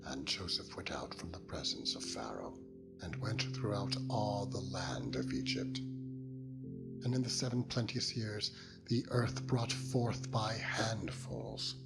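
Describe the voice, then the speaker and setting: A man narrating a dramatized Bible reading in a solemn, measured and dramatic manner. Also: lowish voice, very animated, gravelly, rough recording. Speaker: scripture narrator. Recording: loud music under the voice.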